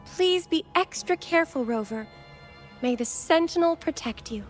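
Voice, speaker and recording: A woman's voice: high-pitched, lively intonation, normal speed, faint music behind. An actress playing an earnest, devout young woman in a video game, speaking formally. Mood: gentle concern.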